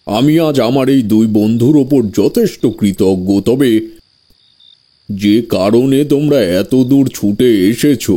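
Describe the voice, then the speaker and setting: Actor as slow, low-voiced genial tantric elder. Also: male voice, warm tone. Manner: slow, deliberate, formal phrasing. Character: older male village tantric storyteller, genial, grave, courteous. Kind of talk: Bengali audio horror story acting.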